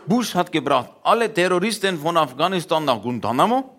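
Man doing a broken-German joker, higher voice, bouncy, drops grammar. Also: very animated, fairly quick, a bit bright, slight background noise. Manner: broken grammar, starts each line with "ja". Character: man in broken German mocking world politics. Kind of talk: comedy sketch monologue.

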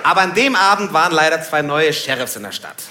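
A man's voice: on the high side, big pitch swings, fast. A man telling a stand-up story on stage, acting out dialogue and laughing as he talks. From Germany, Munich area. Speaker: stand-up comedian. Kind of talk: stand-up storytelling to a live audience. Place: live comedy venue. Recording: roomy live stage with noise.

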